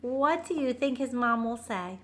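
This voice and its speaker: A woman storyteller reading a picture book aloud to young children, pausing for simple explanations and questions. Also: on the high side, lively intonation.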